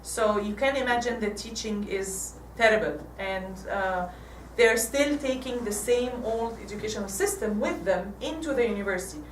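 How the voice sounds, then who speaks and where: A woman's voice: mid-range voice, distant mic, slight background noise. Egyptian woman in an echoey hall. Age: 40s. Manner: halting, many restarts. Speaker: guest speaker. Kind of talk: casual talk with slides. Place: church hall.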